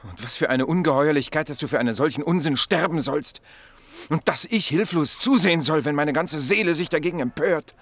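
An actor playing a worldly, sceptical Roman captain and devoted lover in a German radio play, earnest and sardonic. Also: male voice, fairly high pitch, a bit bright.

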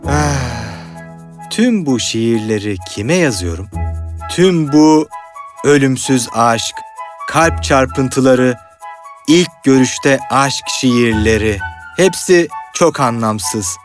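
A man's voice: mid-range voice, expressive, very slow. A man voicing an earnest, scatterbrained, melodramatic poet in a cartoon fairy tale. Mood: despairing. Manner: melodramatic, pleading. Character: earnest, dreamy, scatterbrained lovesick male poet. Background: music.

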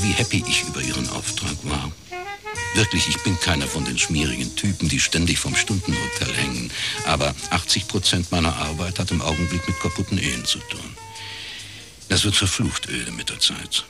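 A low, bright male voice with lively intonation as a world-weary detective in his forties. He narrates a radio crime drama in a laconic style, dry and ironic. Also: husky, exaggerated voice. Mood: wry, matter-of-fact. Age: 40s. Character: wry, world-weary 49-year-old East German private detective, former academic.

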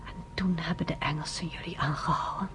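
An actress playing an American woman in a radio play who asks hushed, careful questions of a survivor. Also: sits low, steady tone, brisk, whispered.

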